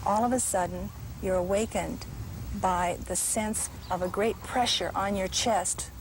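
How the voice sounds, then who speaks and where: A woman's voice: medium pitch, steady tone, a little slow, a little hiss or hum, rough recording. A woman telling a ghost story, addressing the listener directly.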